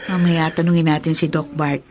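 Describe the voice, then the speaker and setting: Woman acting a gentle, caring older sister. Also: sits low, level tone, a little fast, lightly acted. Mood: gentle, reassuring. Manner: gentle, reassuring. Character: caring, reassuring older sister at a sick sibling's bedside. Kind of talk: Filipino radio drama acting. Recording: faint background noise.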